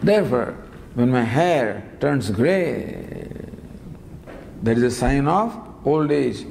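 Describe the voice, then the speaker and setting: Lively man teaching in a reverberant hall. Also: medium pitch, takes it slowly, gravelly. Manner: lively, gently humorous teaching with rhetorical questions. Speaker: spiritual teacher. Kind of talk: discourse on scripture. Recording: long hall reverb, faint background noise.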